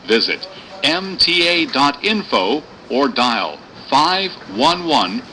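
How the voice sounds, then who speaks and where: A man's voice: mid-range voice, sing-song, slow, pushed and bright, slight background noise. A man reading scripted public announcements as a subway announcer, with train ambience behind him.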